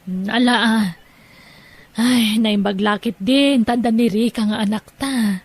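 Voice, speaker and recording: A woman playing a gentle, devoted wife and loving stepmother in an audio drama, with a soft, tender delivery. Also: a little high, steady tone, unhurried, slightly rough, no echo. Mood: affectionate, calm.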